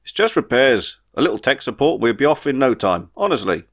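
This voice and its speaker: A man's voice: medium pitch, sing-song, fairly quick, pitched above the performer's own voice. A man voicing an easygoing, know-it-all spaceship pilot in an audiobook, chatty and reassuring. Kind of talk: audiobook character dialogue.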